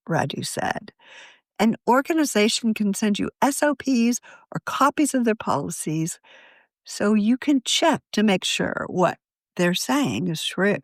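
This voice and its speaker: A woman reading an article aloud as scripted podcast narration, in a measured, articulate way. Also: medium pitch, no echo.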